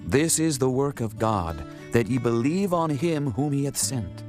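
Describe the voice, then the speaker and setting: An actor playing a calm, authoritative male teacher in a dramatized scripture reading, speaking in a measured, solemn way. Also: medium pitch, faint music behind, natural voice.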